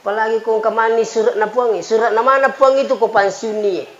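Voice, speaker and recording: High-voiced Bugis preacher man, echoey sound. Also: fairly even intonation, fairly quick. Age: 40s. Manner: folksy, bantering, repeats phrases to listeners. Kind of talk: humorous sermon mixing two languages. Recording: echoey, dull PA sound with crowd noise. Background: crowd murmur and light noise.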